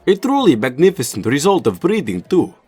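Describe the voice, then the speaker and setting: A man's voice: a little high, wildly swooping pitch, brisk, dark tone, breathy edge, faint music behind, a faint crowd, full character voice. A man playing a sly, fun-loving Soviet scientist in a comic dub.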